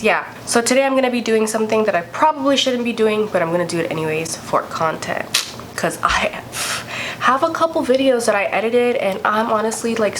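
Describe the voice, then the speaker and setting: A woman's voice: medium pitch, a little hiss or hum, low-quality recording. A young woman vlogger with a congested, stuffy voice, chatting candidly and swearing freely as she tells a story. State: congested from a cold. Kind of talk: casual storytime vlog.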